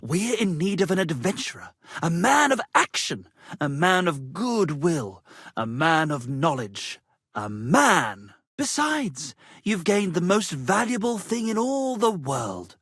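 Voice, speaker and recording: A man voicing a pompous, flattering, melodramatic medieval minstrel in a video game, with florid, grandiose delivery. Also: high voice, takes it slowly, breathy edge, no room sound, studio quality, heavily acted. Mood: flattering, persuasive. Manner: florid, grandiose, declamatory, swinging between rapture and despair. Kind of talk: theatrical video game character acting.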